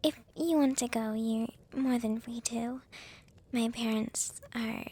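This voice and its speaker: A woman playing a shy, gentle young vampire with a crush in a whispered ASMR roleplay, speaking softly and haltingly with a breathy voice. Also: fairly high pitch, steady tone, full character voice. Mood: apologetic, awkward. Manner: hesitant, halting, soft-spoken.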